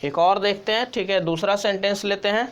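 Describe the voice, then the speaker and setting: A man's voice: high-pitched, a little fast, fairly bright tone, clear, resonant tone. A man with an Indian accent teaching English grammar step by step, repeating key points in an instructional way. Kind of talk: step-by-step tutorial explanation.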